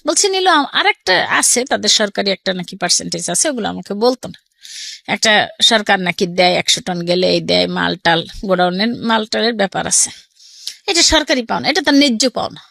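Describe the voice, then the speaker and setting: A woman's voice: medium pitch, fast talker, gravelly, no room sound, studio quality. A middle-aged woman with a Bangladeshi accent telling her personal story in an interview, acting out what people said as she goes. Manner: animated, quotes people's words as she recounts.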